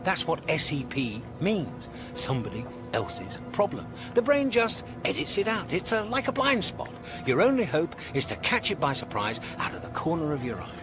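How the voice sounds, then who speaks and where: A man's voice: on the high side, huge pitch swings, normal speed, hardly any pauses, over music. An actor playing a breezy, streetwise alien traveller in a radio comedy, wry, emphatic and impatient with his human friend. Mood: exasperated, excited. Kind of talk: radio comedy drama acting.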